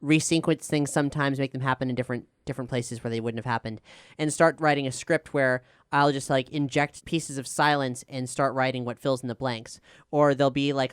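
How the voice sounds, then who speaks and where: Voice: medium pitch, clean tone, dry recording, clean studio sound. A showrunner explaining the making of an audio drama in a casual, conversational Q&A style. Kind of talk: casual panel Q&A, explaining production process.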